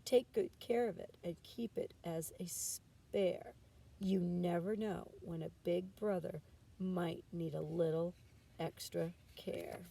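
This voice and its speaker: Mother raccoon for a picture book, read by a woman: dark-toned, motherly, patient, comforting; recorded from a distance. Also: on the low side, fairly even intonation, takes it slowly, creaky, breathy edge, full character voice. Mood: soothing, loving. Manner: tender, soothing, motherly. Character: gentle, loving mother raccoon, patient and reassuring. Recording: distant mic with background noise.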